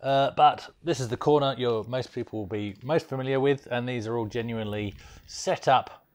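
A man's voice: medium pitch, normal speed. A man giving a rambling, casual tour of his computer room. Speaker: viewer video contributor.

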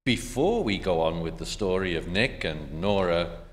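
A man playing a measured announcer narrating an old-time Hollywood radio show. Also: low-pitched, expressive. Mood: measured, presentational. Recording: reverberant stage hall, faint noise.

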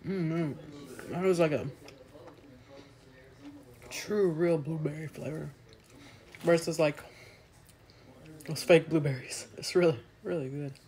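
A woman in her thirties talks into a phone held at arm's length in a small room at home. She tastes and describes pastries in a chatty, easygoing way, pausing to chew between remarks. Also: low voice, fairly even intonation, darkish tone. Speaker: food vlogger. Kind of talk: casual food review vlog.

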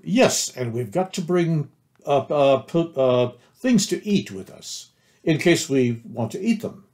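A man's voice: a little high, animated, slow pace, a little mellow, pitched above the performer's own voice. A man voicing a naive, eager, muddle-headed storybook teddy bear who hesitates over long words. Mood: eager, muddled.

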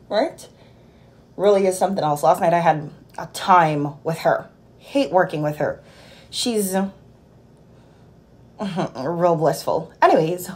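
A young woman in her twenties from Jamaica, a nurse telling a work story in a casual vlog, recorded on a phone held at arm's length in a small room at home. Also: lowish voice. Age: 20s. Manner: animated, exasperated storytelling. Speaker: nurse vlogger.

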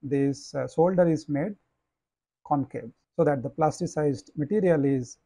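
A man, a professor giving a slide lecture, explaining in a measured way with frequent "uh" and "you know". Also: on the high side, dark tone, breathy edge, no echo.

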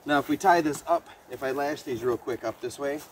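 A middle-aged man demonstrating bushcraft in a pine forest, relaxed and explaining step by step as he works, picked up by a camera a few meters away. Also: mid-range voice, a little fast, low-quality recording. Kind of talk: outdoor demonstration. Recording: outdoor noise behind voice.

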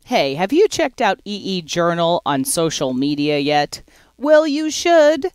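Lively, chatty woman podcast host. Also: on the low side, a little slow, smooth, dry recording, studio quality. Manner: upbeat, chatty, playful. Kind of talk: podcast hosting and outro.

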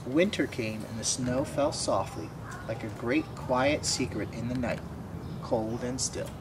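A man's voice: medium pitch, a little slow, background noise. A man in his twenties reading a children's picture book aloud. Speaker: storybook reader. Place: outdoors by a wooden fence.

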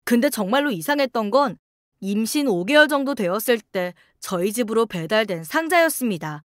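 A woman's voice: on the high side, animated, normal speed, no room sound, studio quality. A woman narrating a scripted first-person story as a radio-style reader.

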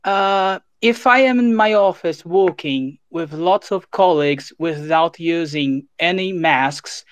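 A man's voice: a little high, slow pace, hardly any pauses, smooth, no room sound. A man with a Portuguese accent calls in to an online audio panel. He is a native Portuguese speaker and phrases his question politely and carefully. The sound is dry and close. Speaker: audience caller. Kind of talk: asking a question. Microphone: remote online audio call.

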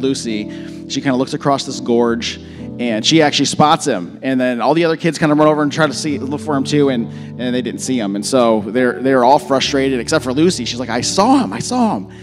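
A slightly hoarse man in his thirties speaks into a handheld mic on a church stage, his mid-pitched voice carrying a long reverb from the hall. He preaches and prays in a relaxed, rambling way, with soft music playing behind him. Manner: relaxed, rambling with many fillers and restarts. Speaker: pastor. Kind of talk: informal sermon and prayer. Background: soft worship music.

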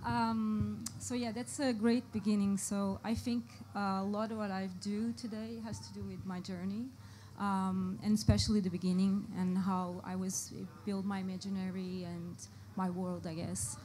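Portuguese-accented woman, mellow voice. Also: mid-range voice, little pitch movement, normal speed, few pauses, a little hiss or hum, low-quality recording. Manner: hesitant, many fillers, searching for words. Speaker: panelist, brand art director. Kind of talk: panel discussion. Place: live panel event before an audience.

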